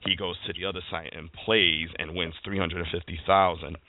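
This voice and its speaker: A man hosts a casual sports talk and rambles through his points with many fillers. His voice sits somewhat low. The sound is thin and phone-like. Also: little pitch movement, fairly quick, no room sound. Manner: rambling, filler-heavy, conversational. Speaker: podcast host. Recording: tinny phone-like line.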